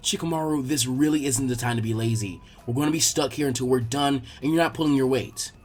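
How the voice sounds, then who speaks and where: A man's voice: mid-range voice, fast talker, pitched below the performer's own voice, natural voice. A man voicing a bossy young girl ninja who scolds in a firm, nagging way. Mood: scolding.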